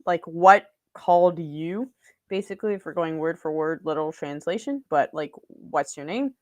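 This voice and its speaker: A woman's voice: low voice, normal speed, a little mellow, dry recording, studio quality. A young woman vlogging casually about her language learning, rambling with lots of fillers and restarts. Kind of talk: casual vlog chat. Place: small room indoors. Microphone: desk mic on boom arm.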